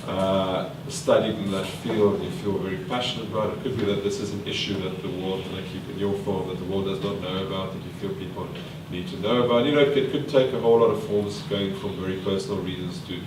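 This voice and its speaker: A man with a very low voice runs a workshop, quizzing the group and thinking aloud with fillers and restarts. He is picked up from a distance in a large, echoing meeting room. A steady background hiss sits under his voice. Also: quick pace, hardly any pauses. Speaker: workshop trainer. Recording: echoey room from far off, noisy.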